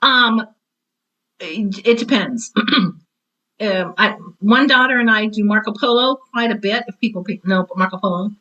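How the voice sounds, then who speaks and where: A woman in her sixties, a retired teacher, chatting casually as an interview guest in an opinionated, animated way. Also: medium pitch, normal speed, dull audio.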